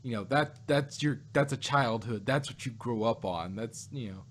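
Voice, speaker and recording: A man's voice: medium pitch, normal speed. A man in a casual podcast discussion, hosting and rambling as he repeats and rephrases his points. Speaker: podcast host. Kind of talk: casual review chat about sci-fi shows.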